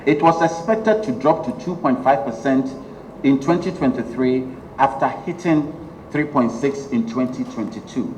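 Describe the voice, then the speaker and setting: Man, Ghanaian accent, middle-aged, guest lecturer, measured delivery, roomy hall sound. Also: mid-range voice, a little mellow. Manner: measured, with frequent ums. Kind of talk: public lecture. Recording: echoey hall, faint noise.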